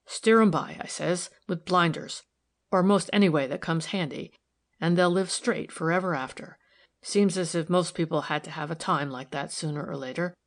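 Woman putting on a deep, creaky, stolid working man. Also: moderate pace, lightly acted. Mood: wry, modest. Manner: rustic, ungrammatical, deferential speech. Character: stolid, respectful working-class man, solemn and modest.

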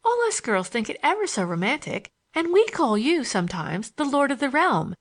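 Storybook schoolgirl in her teens, played by a woman: high, animated, pert and romantic, teasing chatter. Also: normal speed, exaggerated voice. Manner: bright, teasing, girlish chatter. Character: playful, pert teenage schoolgirl, cheeky and romantic.

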